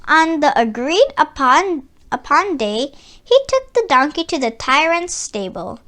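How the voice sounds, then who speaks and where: A woman reading a children's story aloud in an expressive, deliberate storytelling way. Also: high voice. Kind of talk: read-aloud storybook narration.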